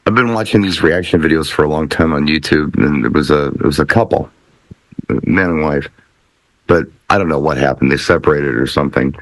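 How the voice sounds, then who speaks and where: A man's voice: extremely low pitch, a little fast, a bit bright, dry recording, rough recording. A man hosting a casual panel chat among DJs, posing questions to the group. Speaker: host of a panel show for DJs.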